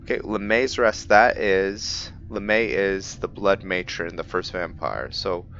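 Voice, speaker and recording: A man with a lowish voice talks slowly and easily over his gameplay, thinking out loud with loose fillers. His voice comes through a dull, lo-fi mic. A faint hiss sits underneath. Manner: relaxed, musing aloud with fillers. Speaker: gaming video host. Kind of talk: casual game commentary.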